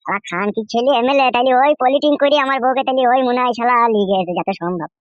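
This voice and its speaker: High-pitched, fast-talking hot-headed village husband. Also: male voice, no room sound, muffled recording. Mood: furious, blustering. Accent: rural West Bengal. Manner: blustering, whining, mispronounces words. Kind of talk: comic cartoon dialogue.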